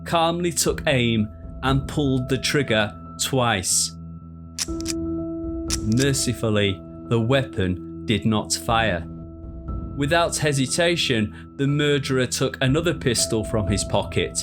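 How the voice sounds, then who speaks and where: A man narrating a historical crime tale in a measured, deliberate, dramatic storytelling style. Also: medium pitch, expressive, music in the background.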